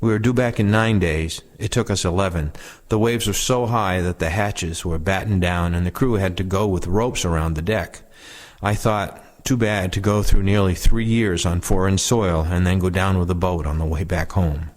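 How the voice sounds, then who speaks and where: Guy w a lowish voice reading out old war recollections. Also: normal speed. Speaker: reader of veterans' oral histories. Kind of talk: reading first-person war recollections aloud.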